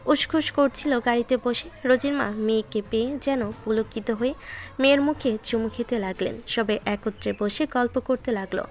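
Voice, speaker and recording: A woman's voice: on the high side, normal speed, darkish tone. A woman reading scripted narration of a romantic story, with music behind her voice.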